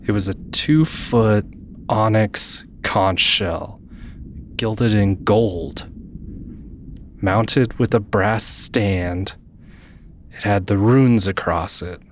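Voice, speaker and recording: Male actor as anxious fantasy hero, lowish voice, slow hesitant delivery, earnest, audio drama. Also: slight background noise. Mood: awed, concentrating. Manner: hesitant, thinking aloud.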